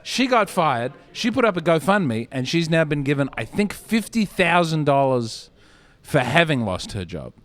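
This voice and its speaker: A podcast host from Australia with a slightly higher voice than most men. He talks in a relaxed, conversational way and lands his jokes with dry understatement. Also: moderate pace. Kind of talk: casual podcast chat.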